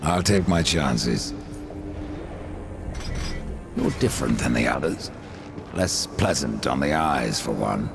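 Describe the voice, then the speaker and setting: An actor playing a grim, sardonic immortal horseman of death in a video game, with a deep gravelly voice and a dry, terse manner. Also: lively intonation, slow, pauses often, music in the background, heavily acted. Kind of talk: video game cutscene acting. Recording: game score behind the voice.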